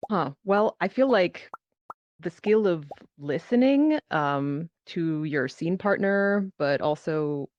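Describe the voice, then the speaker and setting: A woman's voice: fairly low pitch, animated, slow pace, a little mellow, dry recording. A woman speaking as a panelist in a casual, reflective way, with informal, loosely hedged phrasing. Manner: hedging, informal, frequent "like" and "kind of". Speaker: panelist, theater performer. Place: virtual reality social platform meetup, online. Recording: dull lo-fi online voice chat.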